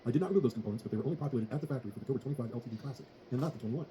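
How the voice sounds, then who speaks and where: A man's voice: mid-range voice, racing, dark tone, slight background noise. A man narrating a scripted technical tutorial in a formal, methodical way. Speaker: tutorial presenter.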